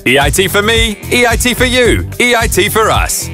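Brief: male ad read, high bright voice, animated, encouraging. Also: moderate pace, soft background music. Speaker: ad voice-over. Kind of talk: inspirational ad read.

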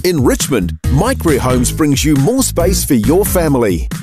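A man's voice: a little high, very animated, normal speed. A man voicing a friendly radio commercial for new homes. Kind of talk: real estate radio ad voice-over. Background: music.

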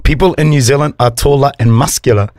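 A man's voice: mid-range voice, quick pace, a little mellow. Easygoing male co-host from the USA. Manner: amused, easygoing. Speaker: radio co-host. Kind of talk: reading listener texts on radio.

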